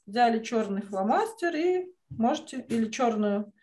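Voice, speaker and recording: Encouraging woman teacher with a higher voice. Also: animated, unhurried, warm tone. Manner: encouraging, full of praise. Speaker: children's drawing teacher. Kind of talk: online art lesson talk to children. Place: indoors, on a video call. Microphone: video call microphone.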